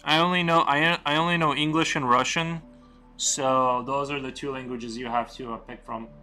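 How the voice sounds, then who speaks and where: A man streaming while he draws, chatting casually in a rambling, relaxed way with lots of fillers. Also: mid-range voice, normal speed, soft background music. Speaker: streamer and artist. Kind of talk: casual live-stream chat while drawing.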